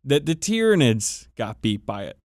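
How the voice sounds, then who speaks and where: A man's voice: mid-range voice, sing-song, moderate pace, a little mellow, no echo, studio quality. A man hosting a podcast, chatting casually and enthusiastically about game lore with emphatic swearing. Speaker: podcast host. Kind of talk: casual, profane hobby chat explaining game lore.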